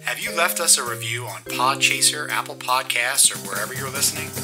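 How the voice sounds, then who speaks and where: A man's voice: mid-range voice, a little fast. Man reading credits over music. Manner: deadpan, self-mocking. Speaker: announcer. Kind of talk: scripted credits narration. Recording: music bed under the voice.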